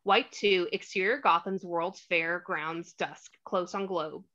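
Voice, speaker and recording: Low-voiced woman narrating a screenplay. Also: fairly even intonation, slow pace, pushed and bright, lo-fi sound. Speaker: stage directions narrator. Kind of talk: scripted narration, screenplay table read.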